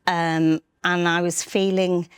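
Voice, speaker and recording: A middle-aged woman from North Wales, an estate agency director, telling her life story as an interview guest in an earnest, halting way with many restarts. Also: fairly low pitch, flat delivery, smooth, no room sound. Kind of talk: candid personal storytelling.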